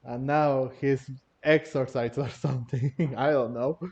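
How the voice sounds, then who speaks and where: A man's voice: mid-range voice, fairly even intonation, a little slow, a little mellow. Giggly man gaming, slightly dull audio. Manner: giggly, reads dialogue aloud. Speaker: gamer commenting on a playthrough. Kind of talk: casual gameplay commentary.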